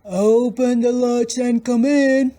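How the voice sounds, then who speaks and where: A woman doing the gruff, sly big bad wolf. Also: on the high side, fairly even intonation, slow pace, mellow, voice pushed up. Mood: disguised. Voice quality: gruff, falsely polite.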